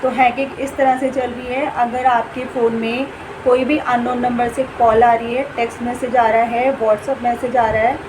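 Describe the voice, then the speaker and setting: A woman with an Indian accent and a fairly high voice talks informally to her viewers. She is earnest and explanatory, repeating points for emphasis. A clear noisy hum sits behind her voice. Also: flat delivery, normal speed. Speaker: vlogger and school staff member. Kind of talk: informal spoken warning to viewers.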